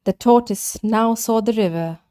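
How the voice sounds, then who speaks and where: A woman narrating a children's story as a read-aloud, with deliberate, simply phrased delivery. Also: on the high side, mellow.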